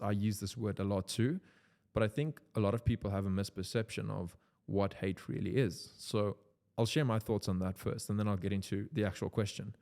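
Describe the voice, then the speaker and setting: A man's voice: lowish voice, warm tone. A young man from South Africa with a South African accent, an Afrikaans speaker talking in English in a loose, unscripted vlog full of "like" fillers. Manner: loose and rambling, many "like" fillers. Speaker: content creator. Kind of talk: unscripted casual vlog talk.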